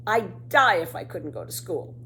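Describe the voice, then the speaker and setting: A woman voicing eager, earnest young schoolchildren in a children's storybook, coaxing and excitable. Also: fairly low pitch, normal speed, soft background music, full character voice. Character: eager, persuasive young schoolchildren, a boy and girl.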